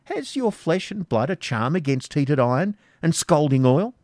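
A man's voice: mid-range voice, animated, normal speed, a little mellow, no echo, studio quality, pitched above the performer's own voice, lightly acted. Man doing a harsh, savage baron. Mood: menacing. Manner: harsh, commanding. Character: savage, cruel medieval baron, stern.

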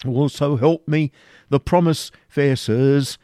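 A man's voice: mid-range voice, takes it slowly, warm tone, dry recording, studio quality, voice pushed up, natural voice. Man playing a frightened old man, pleading. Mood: alarmed pleading. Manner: pleading, lamenting, archaic.

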